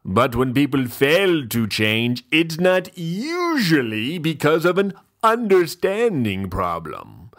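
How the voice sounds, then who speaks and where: A man playing a pompous, fussy, self-indulgent gentleman host who gives mock-literary dramatic readings with drawn-out, theatrical pauses. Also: on the high side, very animated, exaggerated voice. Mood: grandly dramatic.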